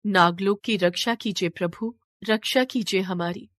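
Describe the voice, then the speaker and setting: A woman's voice: medium pitch, normal speed, a bit bright, no echo, lightly acted. A woman voicing a devout, proud serpent queen who pleads and defies with dramatic emotion in a fantasy story. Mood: pleading. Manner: impassioned, dramatic pleading and defiance.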